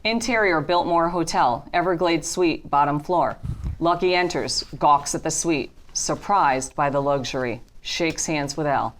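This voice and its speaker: Very low-pitched woman narrating a script. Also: unhurried, slight background noise. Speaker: narrator of stage directions.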